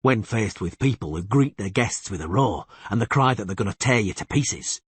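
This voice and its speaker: Man as laconic male warrior, low-pitched, very raspy, unruffled, dry, fantasy storybook hero. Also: moderate pace, dry recording. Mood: dry, defiant. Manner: calm, terse, unruffled. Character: calm, unflinching male monster-hunting swordsman, laconic and dry.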